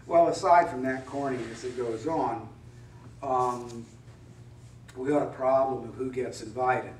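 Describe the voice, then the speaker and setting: A man's voice: medium pitch, fairly even intonation, measured pace, darkish tone, a little hiss or hum. Middle-aged guy pastor preaching, chatty and wry, kinda far from the mic, echoey church. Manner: conversational, wry preaching with rhetorical questions. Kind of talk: sermon. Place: church sanctuary. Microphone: mic at a distance on a pulpit stand. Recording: roomy church echo from a distance.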